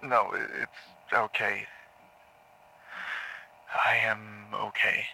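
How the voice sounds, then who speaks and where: Guy acting nervous troubled man, halting n apologetic, lowish creaky voice, audio drama. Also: level tone, sharp tone, slight background noise, exaggerated voice, rough recording. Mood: guarded, uneasy. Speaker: actor playing a therapy patient.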